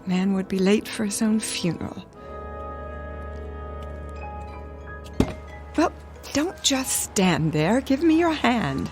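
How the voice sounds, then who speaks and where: A woman's voice: medium pitch, big pitch swings, unhurried, music in the background. An actress playing a vain, demanding, wealthy older woman in a radio drama, haughty and snappish.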